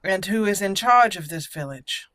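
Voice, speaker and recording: Bright-voiced woman hosting a game playthrough. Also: medium pitch, lively intonation, normal speed, no echo. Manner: chatty, playful asides. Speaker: gaming video host. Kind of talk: roleplay game commentary, partly reading dialogue choices aloud.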